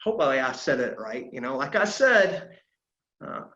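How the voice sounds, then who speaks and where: A man in his thirties from South Carolina, presenting an aviation safety webinar in a halting, earnest way with many fillers. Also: medium pitch, very animated, bright, forceful tone, slight background noise. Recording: dull lo-fi audio in an echoey room.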